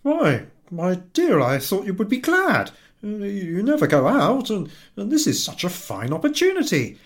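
A man's voice: high voice, huge pitch swings, moderate pace, pitched above the performer's own voice. A man voicing an eager, flustered clerk husband who stammers and pleads to please his wife. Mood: pleading.

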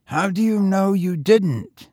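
A man doing a husky, frail teenage tramp. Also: sits high, lively intonation, slow, dark tone, no echo, studio quality, pitched above the performer's own voice. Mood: pleading. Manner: eager, talkative, fatalistic. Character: frail teenage boy tramp, coughing and hoarse.

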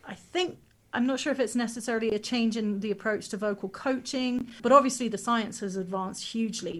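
A woman vocal coach answering interview questions casually, explaining with everyday comparisons and demonstrating sounds. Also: mid-range voice.

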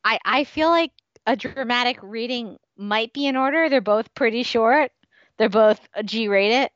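A woman's voice: fairly high pitch, unhurried, fairly bright tone, no echo, dull audio. A woman co-hosting a fan podcast in casual, amused chat. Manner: giggly, amused. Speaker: podcast co-host.

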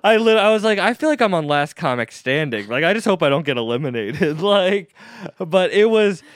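A man who performs stand-up tells a story in a casual chat, acting out the people in it. His voice sits a little high and sounds smooth and clear. The recording is dry and close, with no room sound. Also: normal speed, no room sound. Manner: animated storyteller, quotes people in raised voices. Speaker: stand-up comedian.

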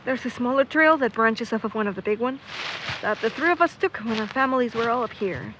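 A woman's voice: fairly high pitch, expressive, fairly quick, lightly acted. A woman playing a pessimistic, sardonic young searcher in an audio drama, skeptical and natural in delivery. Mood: winded, reflective. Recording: muffled, with clear background noise.

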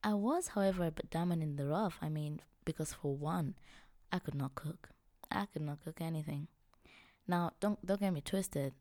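A woman's voice: low voice, normal speed, a little mellow, no room sound, clean studio sound. A young woman, hosting a podcast and telling her own story in a reflective, personal way. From Tanzania. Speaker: podcast host.

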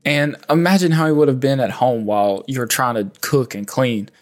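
A man hosting a podcast, reading measured, reflective narration and asking questions. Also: medium pitch. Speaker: podcast host. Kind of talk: scripted narration and interview questions. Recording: faint music bed behind.

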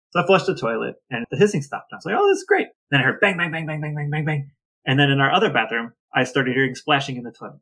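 A man's voice: mid-range voice, pushed and bright, husky. A middle-aged man co-hosting a podcast, telling stories casually and comically in a grumbling, exasperated way. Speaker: podcast co-host. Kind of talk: casual comedic storytelling.

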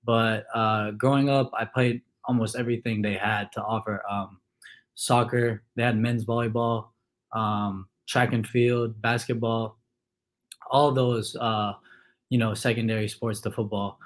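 A young man from Kuwait, a college football player, answering interview questions in a relaxed way with many fillers. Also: lowish voice, a little slow. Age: young adult.

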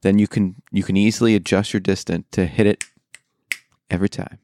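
A man on a casual podcast, calmly explaining in a matter-of-fact way. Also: deep voice, a little fast. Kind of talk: casual chat.

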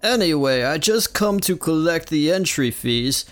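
A man's voice: a little high, expressive, unhurried, fairly bright tone, faint music behind, voice pushed up. A man voicing a boastful, scornful older restaurant owner from a cartoon, with a thick put-on German accent. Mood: brisk, pushy.

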